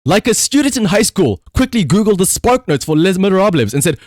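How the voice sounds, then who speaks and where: A man's voice: high-pitched, very animated, fairly quick, darkish tone, no echo, studio quality. A man giving casual livestream commentary in a rambling, conversational, emphatic way, as the host talking to viewers.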